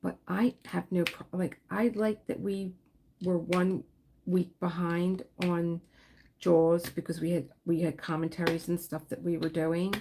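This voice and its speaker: Woman livestream host, low gravelly voice, slow rambling chat, faint hiss behind. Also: level tone, warm tone. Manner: rambling, chatty, laughs often, reads and answers viewer comments. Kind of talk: casual livestream chat while diamond painting.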